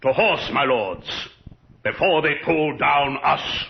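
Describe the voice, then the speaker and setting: Casting: stern officer lord, animated higher-set voice, formal declamation, vintage radio horror. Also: male voice, slow, gravelly, heavily acted. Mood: urgent, grim. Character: stern, urgent military nobleman reporting orders. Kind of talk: 1980s radio horror drama acting. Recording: dull, noisy old radio recording.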